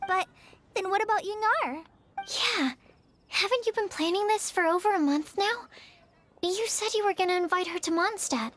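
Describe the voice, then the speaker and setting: A sweet, very high-voiced young woman. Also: moderate pace, fairly bright tone. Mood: concerned. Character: gentle, nervous young woman, sweet and supportive. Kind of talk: video game voice acting.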